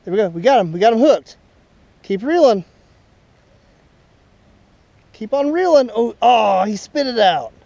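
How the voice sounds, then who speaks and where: A man's voice: sits high, animated, moderate pace, gravelly, darkish tone, no room sound, muffled recording. A young father, patiently coaching his son while fishing at a pond, recorded on a body-worn camera outdoors. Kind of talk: casual chat while fishing. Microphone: body-worn action camera.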